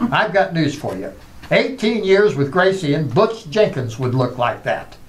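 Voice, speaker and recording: A man's voice: fairly high pitch, very animated, unhurried, rough recording. A man plays a grumbling husband from a radio sitcom. He sounds dry and sarcastic, complaining in wisecracks. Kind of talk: old-time radio comedy acting.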